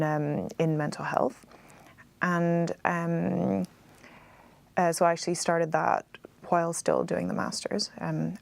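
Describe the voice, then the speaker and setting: A woman, a PhD student, describing her research career in a halting way. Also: sits low, creaky. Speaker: PhD student, former master's student. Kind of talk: recorded career testimonial.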